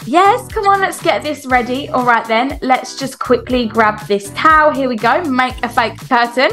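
A woman roleplaying a doting mother, cheery, reassuring and chatty in an animated improvised style for children. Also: high voice, normal speed, faint music behind. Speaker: host of a Roblox family roleplay.

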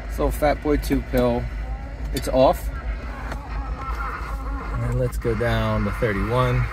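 A man giving a casual, hands-on demonstration in a plainspoken, enthusiastic way, as a hobbyist vlogger. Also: medium pitch. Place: inside a parked vehicle and outdoors in a driveway. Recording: clear background noise from car and outdoors.

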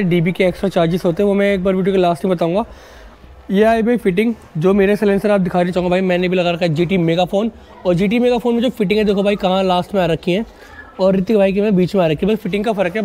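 A man's voice: sits high, darkish tone, slight background noise. A man, chatting casually and informally to viewers as a vlogger, mixing in English words. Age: 20s. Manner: informal, addresses viewers as buddies. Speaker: motorcycle vlogger and presenter. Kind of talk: casual vlog chat with English words mixed in. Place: narrow residential street outdoors. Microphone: wired lavalier microphone.

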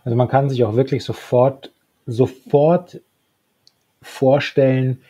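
Male podcast co-host, husky and dark-toned, loose retelling style, close dry home recording. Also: medium pitch, steady tone, slow pace, no room sound. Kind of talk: casual discussion and retelling of an audio drama. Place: small book-lined home room, indoors, remote video call. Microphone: handheld-style recorder close on desk. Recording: dull, muffled podcast recording.

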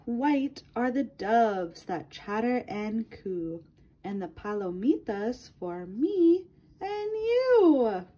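A woman reads a picture book for young children in a high, mellow voice. She takes it very slowly, with a sing-song delivery that speaks straight to the little listeners. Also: very animated, highs cut off. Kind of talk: children's read-aloud.

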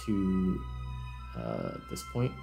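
A man's voice: deep voice, sing-song, very slow, gravelly. A man hosting a gaming video, thinking aloud in casual commentary as he plays. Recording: music behind the voice. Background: background music.

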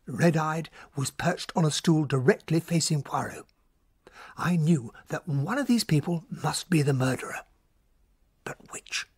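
An actor playing an earnest, polite gentlemanly captain and loyal companion, husky-voiced, in a radio play. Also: male voice, a little high, no echo, studio quality. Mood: sombre narrating. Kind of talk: radio drama acting and narration.

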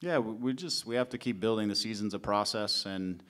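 A man in his forties, a head coach, answering questions at a press conference table mic, in a measured way with many fillers and restarts. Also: lowish voice, fairly bright tone. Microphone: table mic in front of him.

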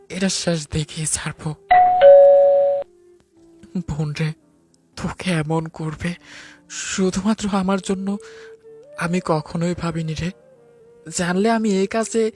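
A man's voice: a little high, lightly acted. Man acting a stern, protective police officer. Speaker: narrator and lead actor. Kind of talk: melodramatic audio story acting.

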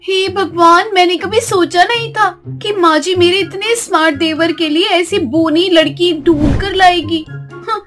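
Lady doing a snooty taunting sister in law for a cartoon story, high voice, music under it. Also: steady tone, measured pace, a bit bright. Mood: mocking. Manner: taunting, boastful. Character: mocking, haughty tall young sister-in-law.

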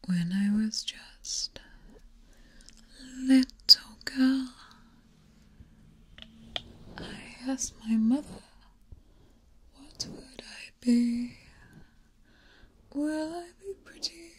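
A young woman whispering and softly singing lullabies as an ASMR host, recorded close on a binaural mic in a small room. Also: high-pitched, drawn-out words, pauses often, breathy edge. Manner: soft whispering, sings lullabies quietly.

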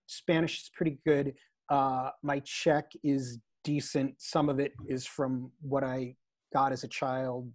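A man's voice: medium pitch, no echo. A middle-aged man chatting as a guest on a video call from his living room over earbuds, enthusiastic, with hesitant starts. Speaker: guest lexicographer. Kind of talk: casual video-call chat. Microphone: earbud headset on video call.

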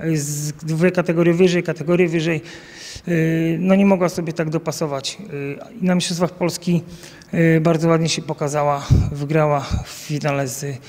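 A man's voice: a little high, level tone, normal speed, a little mellow, long reverb tail. A man in his thirties, a coach, answering interview questions outdoors into a handheld mic, with many fillers and restarts. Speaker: national team coach. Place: outdoors by a banner at an event. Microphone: handheld mic held by interviewer.

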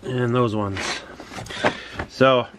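Slow-paced middle-aged man, noisy home recording. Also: medium pitch, steady tone. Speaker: reseller showing storage unit finds. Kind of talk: casual handheld show-and-tell. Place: small cluttered home office, indoors. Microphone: handheld phone or camera.

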